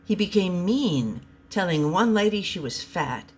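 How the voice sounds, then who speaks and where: A woman's voice: fairly low pitch, animated, measured pace, a little hiss or hum. A woman narrating a comic short story aloud as a storyteller.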